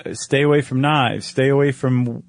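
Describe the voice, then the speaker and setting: A man's voice: medium pitch, steady tone, moderate pace, gravelly. An American man talking casually as a podcast co-host. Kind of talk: casual conversational podcast talk.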